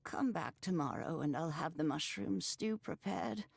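A woman voicing a gentle, wise old grandmother with a creaky voice in a video game. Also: lowish voice, expressive, a little slow, no room sound, exaggerated voice. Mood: warm, wistful. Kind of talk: video game character acting.

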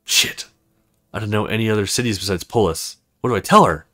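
A man hosting a let's play, reading visual novel dialogue aloud in an expressive way. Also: fairly low pitch, fairly quick, no echo, clean studio sound.

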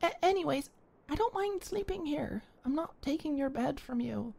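A young woman in an ASMR roleplay, talking shyly and stammering as a flustered neighbor, sometimes dropping to a soft whisper. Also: sits high, animated, moderate pace, creaky. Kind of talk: ASMR roleplay, one-sided dialogue.

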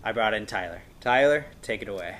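A man's voice: fairly low pitch, lively intonation, brisk, a bit bright. American man hosting a casual chat. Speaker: host. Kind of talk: casual chat introducing a guest. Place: indoors on a couch in a workshop room.

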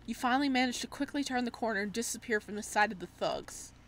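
High-voiced woman reacting while reading a game. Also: fast, a little hiss or hum. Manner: expressive, exclaims and breaks off to react. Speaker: streamer playing a visual novel. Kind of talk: let's-play read-aloud with casual reactions.

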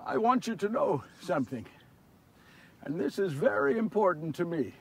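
A man's voice: high-pitched, animated, moderate pace, breathy edge, heavily acted. A man playing Simon Peter as a big, remorseful fisherman disciple, telling his story in an earnest, confessional, theatrical dramatic monologue. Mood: solemn, regretful. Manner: earnest, confessional, theatrical, swinging from hushed to shouting. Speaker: solo storyteller actor. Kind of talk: first-person dramatic monologue of a Bible story. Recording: distant microphone.

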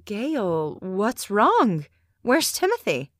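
A woman voicing a worried, gentle, ailing middle-aged mother in a comic dub, speaking in a soothing, reassuring way. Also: medium pitch, wildly swooping pitch, slow, no room sound, clean studio sound.

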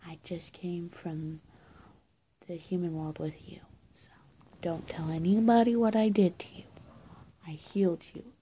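A young adult woman doing a soft-spoken, improvised roleplay as a friendly good witch of high-school age, talking casually and haltingly to the viewer and often dropping to a near-whisper. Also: fairly even intonation, slight background noise, rough recording. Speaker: roleplay video creator. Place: small indoor room, plain walls. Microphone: phone or webcam at arm's length.

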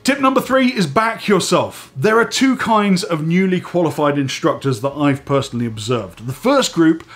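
A man in his forties hosting a casual vlog from a home studio, slightly hoarse and stuffy from a head cold, chatty and animated as he talks to the camera. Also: fairly high pitch, normal speed, hardly any pauses. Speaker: host. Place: home studio room with dive gear on shelves.

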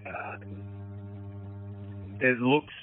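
A man's voice: medium pitch, drawn-out words. A man on a fire rescue boat crew, making an emergency report by radio. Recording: muffled, narrow two-way radio.

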